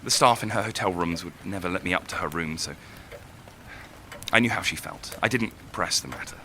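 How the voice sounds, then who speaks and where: An actor in an audio drama playing a brooding, lovelorn young writer, speaking in a hesitant, reflective way. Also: male voice, deep voice, brisk, pushed and bright, a little hiss or hum. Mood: melancholy, wistful.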